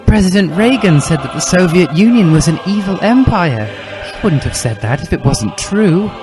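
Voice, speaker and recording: Casting: prim, sceptical teenage girl, done by a male reader, raised pitch, earnest, comic storybook reading over music. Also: expressive, normal speed. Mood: flustered, protesting.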